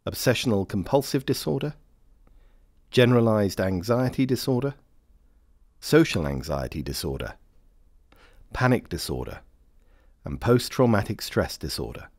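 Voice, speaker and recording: A man reading scripted informational narration for a training film in a measured, formal manner. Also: lowish voice, expressive, a little mellow, no room sound, studio quality. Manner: measured, formal, clearly phrased.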